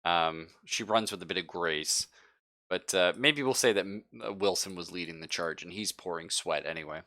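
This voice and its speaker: A man's voice: low-pitched, moderate pace, bright, forceful tone. A man narrating a tabletop roleplaying game as game master, casual and joking as he improvises.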